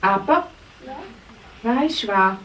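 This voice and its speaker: A woman storyteller voicing a wise, kindly helper figure, lower and soothing. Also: lively intonation, takes it slowly, a bit bright. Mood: advising. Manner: low, soothing and advising. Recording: roomy live stage sound from far off, faint music.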